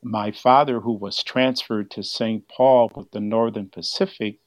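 A man's voice: lowish voice, fairly even intonation, a little slow, no room sound. An older man explaining history as an interview guest, heard over a dull, band-limited line. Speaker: museum exhibit curator, interview guest.

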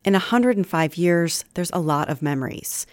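A woman's voice: lowish voice, expressive, moderate pace, darkish tone, dry recording. An American woman narrating a scripted radio news feature as a reporter. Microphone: close studio microphone.

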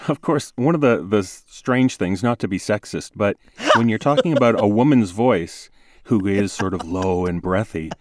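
Low-voiced man in interview conversation. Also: normal speed, very noisy. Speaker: podcast host and producer, voice actor.

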